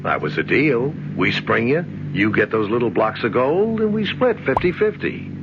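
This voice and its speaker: An actor playing a smooth, menacing crook posing as a prison guard, giving cool orders in a radio drama. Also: male voice, medium pitch, very animated, moderate pace. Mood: wry. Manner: cool, taunting, commanding. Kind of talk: 1970s radio drama acting. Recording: dull old radio broadcast with hiss.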